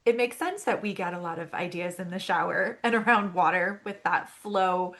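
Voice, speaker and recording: A woman's voice: fairly low pitch, moderate pace. A woman hosting a podcast interview, affirming her guest and asking questions with enthusiasm. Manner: warmly affirming, enthusiastic listener. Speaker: podcast host. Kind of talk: casual interview chat.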